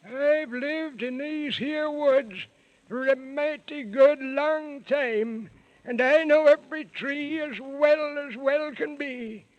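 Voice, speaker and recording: An actor in a 1930s radio serial playing a crotchety, folksy little old hermit who talks like a rustic old-timer. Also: male voice, very high-pitched, slow pace, no echo, highs cut off. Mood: folksy, secretive.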